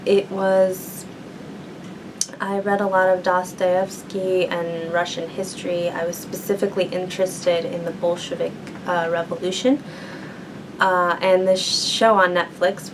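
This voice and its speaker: Young woman student, low voice, humming background. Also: noisy background. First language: English. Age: young adult. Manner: thoughtful, many ums and likes. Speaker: university student. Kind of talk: interview answers.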